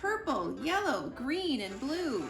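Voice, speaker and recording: A woman reads a picture book aloud for children, unhurried and expressive. Her fairly high voice has a noticeable creak, and she leans playfully on the rhymes. The room sounds echoey, with music behind. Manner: deliberate, playful emphasis on rhyming words. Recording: roomy echo with music behind.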